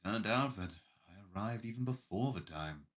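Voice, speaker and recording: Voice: mid-range voice, lively intonation, moderate pace. A streamer reading a visual novel's narration aloud in a dramatic way.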